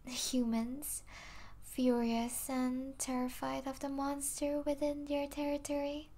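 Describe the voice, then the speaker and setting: A woman playing a possessive yet tender vampire princess in an intimate ASMR roleplay, speaking softly with regal, doting manners and breathy asides. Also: high-pitched. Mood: wistful, intimate.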